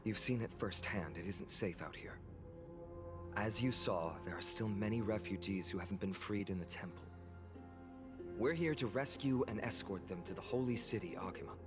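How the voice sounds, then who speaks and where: An actor playing a cautious, earnest young male warrior in a video game, speaking in a polite, measured way. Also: lowish voice, lightly acted. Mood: earnest, calm. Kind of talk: scripted video game character acting. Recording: game music behind the voice. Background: game music.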